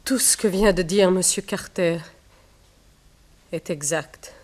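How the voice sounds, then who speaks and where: An actress playing a plaintive, indignant young mistress in a theatrical 1960s radio crime drama. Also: female voice, fairly low pitch, takes it slowly, darkish tone. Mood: subdued.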